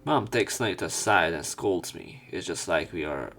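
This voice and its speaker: A man's voice: sits low, lively intonation, a little slow, a bit bright. A man reading a visual novel aloud as a let's player, with casual commentary and joking asides. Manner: joking asides between read passages.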